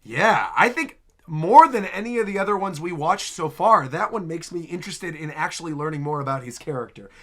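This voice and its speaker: Male reaction host, higher-pitched, very animated delivery, casual and excitable commentary. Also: a little fast, few pauses. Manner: excitable, exclaiming. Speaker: host reacting to game trailers.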